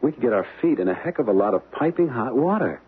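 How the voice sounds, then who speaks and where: An actor playing a wary, easygoing young American husband in a radio drama, speaking in slangy, hesitant phrases. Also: male voice, medium pitch, big pitch swings, fast talker, slightly rough. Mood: cautious, resisting. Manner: slangy, hesitant, repeating words. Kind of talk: old-time radio drama acting. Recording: tinny, band-limited vintage radio, hissy.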